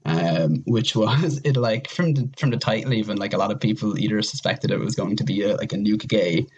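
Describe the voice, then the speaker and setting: A man's voice: on the low side, a little fast, darkish tone, breathy edge, dull audio. A man chatting casually as a podcast guest, rambling enthusiastically and piling up intensifiers as he recommends things. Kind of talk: casual chat, recommending games.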